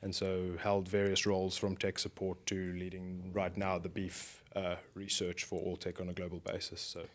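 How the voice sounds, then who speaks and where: A man from South Africa speaks in a fairly low voice with a South African accent that has softened over the years. He explains his research work at length in a relaxed, thoughtful way, with plenty of fillers. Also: normal speed. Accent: South African, softened by years in America. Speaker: guest, beef research director. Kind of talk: casual podcast interview.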